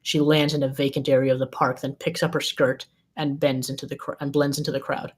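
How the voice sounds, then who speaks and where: A woman's voice: very deep voice, flat delivery, fairly quick, slightly rough. A woman reading a script's scene descriptions aloud as narrator at a table read.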